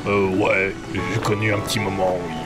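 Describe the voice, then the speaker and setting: A man's voice: deep voice, level tone, moderate pace, lightly acted. A man playing a sarcastic, easily exasperated pirate captain in a comedic French audio drama. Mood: dry, wary. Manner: irritated, sardonic. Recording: loud music bed behind.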